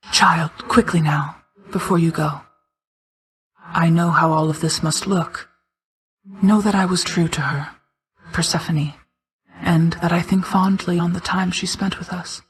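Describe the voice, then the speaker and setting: A woman voicing a solemn, regal night goddess and protective mother figure in a video game, speaking in a formal, measured, restrained way. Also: lowish voice, low-quality recording. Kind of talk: scripted video game character dialogue.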